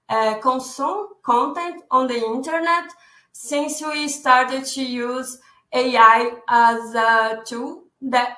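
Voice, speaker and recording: Brief: young Brazilian woman, raised voice, unhurried webinar host in an echoey room. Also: sits high, bright, forceful tone. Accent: Brazilian Portuguese. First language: Portuguese. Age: 20s. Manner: careful, searching for words, often repeating herself. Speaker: content marketing specialist presenting a webinar. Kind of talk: webinar presentation.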